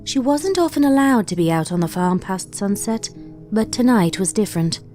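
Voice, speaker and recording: Woman narrating a dark fan story. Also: medium pitch, moderate pace, faint music behind. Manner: steady storytelling. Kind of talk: scripted narration of a horror fanfic.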